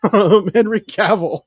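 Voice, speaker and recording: A man's voice: high-pitched, slow pace, breathy edge, no echo, studio quality. A man co-hosting a podcast, chatting casually and emphatically with opinions and plenty of swearing. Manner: animated, emphatic, freely profane. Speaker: podcast co-host. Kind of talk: casual opinionated pop-culture chat.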